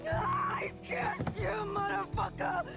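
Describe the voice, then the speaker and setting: Shrill, sobbing captive woman. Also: huge pitch swings, takes it slowly, vocal fry, loud music behind the voice, exaggerated voice. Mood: anguished fury. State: crying, distraught. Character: traumatized, enraged young captive woman. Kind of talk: dramatic video game cutscene acting.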